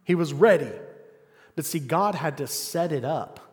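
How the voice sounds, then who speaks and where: Brief: male preacher, slightly high voice, fervent call-and-response delivery, long reverb of a hall. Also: expressive, measured pace. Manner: call-and-response, asks congregation "Amen?"; rises to loud, fervent passages, drops to quiet asides. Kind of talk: sermon.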